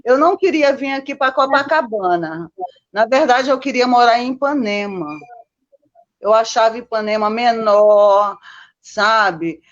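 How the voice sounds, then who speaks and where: Chatty high-pitched Brazilian woman. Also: unhurried, muffled recording. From born in Manaus, lived mostly in Minas Gerais, Brazil. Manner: chatty, constantly seeking agreement with tag questions. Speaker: guest, Copacabana resident. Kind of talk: casual personal storytelling.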